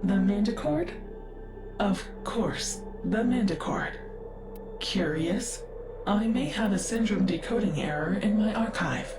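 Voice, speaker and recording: An actress playing a curious, analytical ship AI in a video game, speaking with measured, precise, formal diction. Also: female voice, medium pitch, close to an everyday voice. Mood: puzzled. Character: curious, analytical female ship AI, cultured and wistful. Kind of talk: scripted video game dialogue. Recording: echoing voice with music behind. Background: game music.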